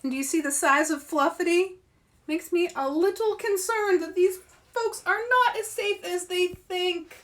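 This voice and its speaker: A woman hosting story-time for children, reading aloud in a playful, expressive way and chatting with young viewers. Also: very high-pitched, a little slow, recorded from a distance. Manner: playful, expressive, asks listeners questions.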